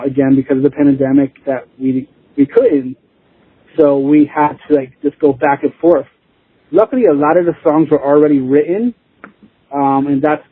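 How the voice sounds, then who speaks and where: A man being interviewed as a band drummer, chatting casually in a rambling way with lots of "you know," over a lo-fi call. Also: mid-range voice. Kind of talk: casual chat. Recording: lo-fi phone-like line.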